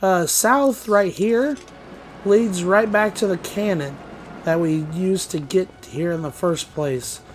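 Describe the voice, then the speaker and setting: A man with a slightly hoarse, groggy voice giving loose, rambling let's play commentary. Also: sits high, takes it slowly, a little hiss or hum. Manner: rambling, loose, with many fillers and restarts. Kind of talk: casual gameplay commentary.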